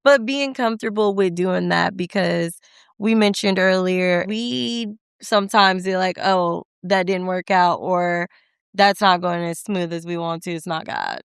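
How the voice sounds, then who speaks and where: A woman's voice: fairly low pitch, a little slow, vocal fry, smooth, no room sound, clean studio sound. A young woman co-hosting a faith podcast, talking reflectively and affirming her co-host's points. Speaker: podcast co-host. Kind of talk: faith conversation.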